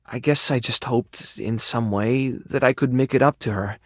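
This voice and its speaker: A male actor in a dating video game playing an earnest, tender, awkward keeper of an animal rescue, sincere and prone to halting rambles. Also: medium pitch, no room sound, studio quality, close to an everyday voice. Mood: grieving, guilty. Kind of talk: video game character voice acting, dating simulator.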